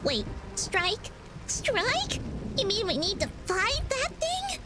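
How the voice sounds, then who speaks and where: A woman voicing an excitable tiny flying creature, a cartoonish video game sidekick with a squeaky voice. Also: slow pace, background noise, exaggerated voice. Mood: excited alarm. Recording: loud music and rain behind.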